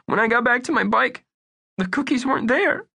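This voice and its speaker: A woman's voice: lively intonation, gravelly, sharp tone, husky, full character voice. A woman voicing a worried, whiny young boy telling about his lost cookies. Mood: upset. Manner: high, pleading, rushed. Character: anxious young boy client, whiny and upset.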